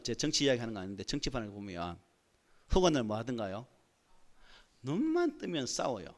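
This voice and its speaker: A pastor preaching a Sunday sermon in a church with a Gyeongsang dialect, often asking the congregation rhetorical questions and building to emphatic points. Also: male voice, medium pitch, fairly bright tone. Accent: Gyeongsang Korean dialect. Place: church sanctuary.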